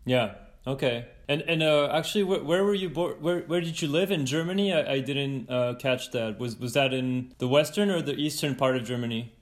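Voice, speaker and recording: A man's voice: medium pitch, normal speed, few pauses. A man hosting a podcast, reading a scripted intro, then asking hesitant questions full of fillers. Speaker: podcast host.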